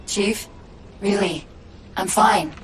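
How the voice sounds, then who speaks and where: A woman voicing a witty, caring but failing military AI in a video game, articulate, wry and expressive. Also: medium pitch, slow pace, a little hiss or hum, lightly acted, low-quality recording. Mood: weary, resigned. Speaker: video game companion character. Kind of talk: cinematic game voice acting. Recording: music behind.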